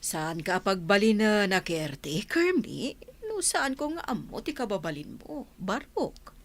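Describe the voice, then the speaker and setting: Coaxing mother, very animated. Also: mid-range voice, unhurried. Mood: gently instructing. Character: persuasive, doting mother and godmother, middle-aged. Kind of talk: Ilocano radio drama acting.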